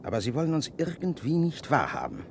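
An actor playing a sarcastic, self-assured spaceship leader in a German radio play, with theatrical, deliberate phrasing. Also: male voice, a little high, wildly swooping pitch, creaky, darkish tone, a little hiss or hum, exaggerated voice. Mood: defensive.

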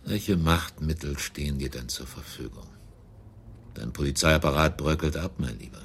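An actor playing a scornful, self-assured adversary in a radio crime drama, sneering and mocking in a gravelly, husky voice. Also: male voice, a bass voice, normal speed, slight background noise. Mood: contemptuous.

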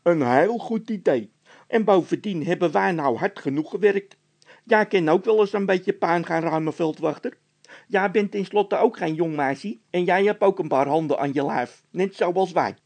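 A man voicing a stubborn, frightened workman who insists and complains loudly in a storybook tale. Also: sits high, normal speed, pitched above the performer's own voice. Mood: defiant.

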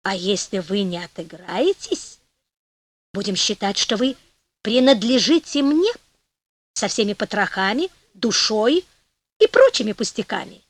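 A woman voicing a sly male devil who speaks in a low, insinuating way and then wails and begs. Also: lively intonation, measured pace, dry recording. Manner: insinuating, turning to loud pleading. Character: sly wheedling male devil, later wailing.

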